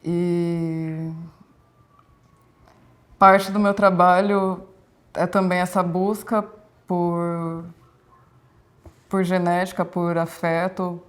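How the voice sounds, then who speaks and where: Young woman from Brazil, performance artist; calm, level, introspective interview voice. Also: fairly low pitch, extremely slow, pauses often. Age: 20s. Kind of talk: reflective documentary interview.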